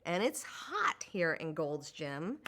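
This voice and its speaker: A woman's voice: low voice, big pitch swings, takes it slowly, a bit bright. A woman, a Paralympic skier, tells her own story in a documentary interview, speaking candidly and often breaking into laughter mid-sentence.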